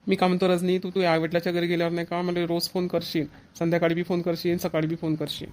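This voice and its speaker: A man voices a young newlywed husband from a cartoon comedy, clingy and earnest. He speaks Marathi with a Varhadi accent, rattling along quickly with little melodic movement, reassuring again and again. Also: high voice, monotone, darkish tone, natural voice. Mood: lovesick. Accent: Varhadi Marathi, Vidarbha. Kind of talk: cartoon family comedy dialogue.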